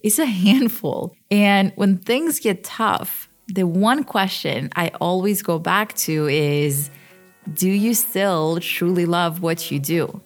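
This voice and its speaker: A woman's voice: fairly low pitch, slow pace, faint music behind. A woman in her thirties from Switzerland, hosting a podcast and telling her own story in a candid, conversational way that pokes fun at herself. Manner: conversational, candid, self-deprecating. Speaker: podcast host. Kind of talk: personal storytelling monologue.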